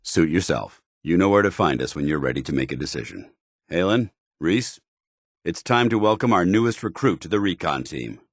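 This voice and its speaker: Stern game soldier, very low pitch, smooth dry sound, dutiful, formal, clipped military delivery. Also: male voice, expressive, moderate pace, no room sound. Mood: resolute, businesslike. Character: stern, dutiful soldier in power armor, paladin of a military order. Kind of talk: video game companion dialogue acting.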